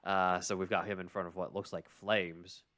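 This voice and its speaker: A man's voice: low voice, level tone, normal speed, sharp tone. A man hosting a podcast, speaking in an informal, explanatory way. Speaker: podcast host. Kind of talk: casual discussion and ad reads.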